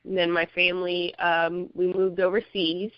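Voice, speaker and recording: A woman from Miami, Florida, a magazine publisher, talks in a relaxed, easygoing way, laughing often and pausing with fillers. Her voice is smooth and clear. The recording is dry and a little dull. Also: on the low side, no room sound. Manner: laid-back, laughs often, hesitant with fillers. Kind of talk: interview answers.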